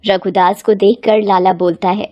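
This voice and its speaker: A woman's voice: medium pitch, little pitch movement, moderate pace, warm tone, dry recording, lo-fi sound. A woman narrating a scripted moral bedtime story as its storyteller.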